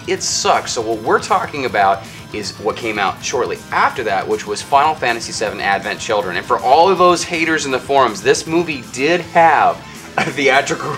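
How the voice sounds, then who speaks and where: A man's voice: medium pitch, a bit bright. A man co-hosting a show with casual banter and commentary. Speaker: co-host. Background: faint music.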